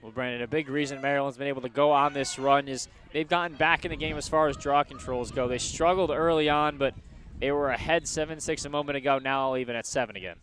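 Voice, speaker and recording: A man's voice: mid-range voice, steady tone, quick pace, fairly bright tone. A man giving live radio color commentary on college lacrosse, explaining the plays in a conversational way. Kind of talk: live sports analysis between plays.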